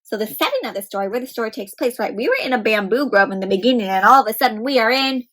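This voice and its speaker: High-pitched, very animated woman reading to children. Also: racing. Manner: expressive, coaxing questions to young listeners. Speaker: children's storybook reader. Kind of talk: interactive read-aloud for children.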